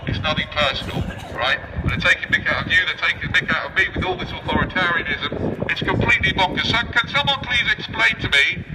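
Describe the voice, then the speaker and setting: A man's voice: on the high side, lively intonation, fast, few pauses. A man giving an improvised, provocative street speech, cheekily firing rhetorical questions at passers-by through a megaphone. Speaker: street protester and speaker. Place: outdoors on a busy city street. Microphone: megaphone outdoors, picked up by a camera at a distance. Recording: distant megaphone speech, noisy windy street.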